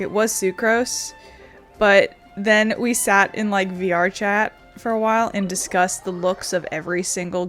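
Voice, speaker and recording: A woman gives casual let's-play commentary in a medium-pitched voice. She is chatty and rambles informally as a streamer, with faint game music behind her.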